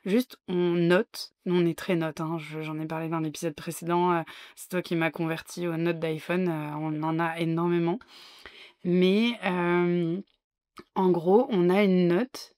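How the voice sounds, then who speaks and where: A young woman co-hosts a podcast in relaxed, rambling chat, full of fillers and quick laughs. Her voice sits a little low for a woman. The sound is dry and close, with no room around it. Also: no room sound. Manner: chatty, laughs easily, many fillers. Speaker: podcast co-host. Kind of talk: casual chat.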